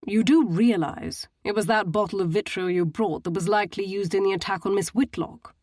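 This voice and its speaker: A woman's voice: medium pitch, a little fast, no echo, clean studio sound, lightly acted. A woman voicing a stern man who questions people severely and with disdain.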